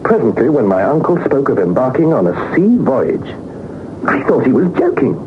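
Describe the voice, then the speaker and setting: An earnest young British gentleman adventurer of a 1960s radio serial. His storytelling is literary and dramatic, with very lively swings of pitch. The sound is narrow, with heavy background noise from an old broadcast. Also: normal speed. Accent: British English. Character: Harry Lawson, earnest young Victorian adventurer recounting perilous journey. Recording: muffled, noisy 1960s radio recording.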